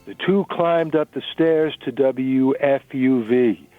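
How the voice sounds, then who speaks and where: A man's voice: medium pitch, takes it slowly, dry recording, faint music behind. A man narrating a novel in a dramatic reading. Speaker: narrator and reader. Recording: narrow-band radio recording.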